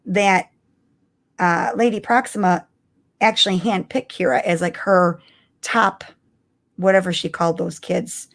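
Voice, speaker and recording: A woman's voice: fairly low pitch, steady tone, unhurried, long pauses, a bit bright. Female podcast co-host, casual live fan chat, eager and emphatic delivery.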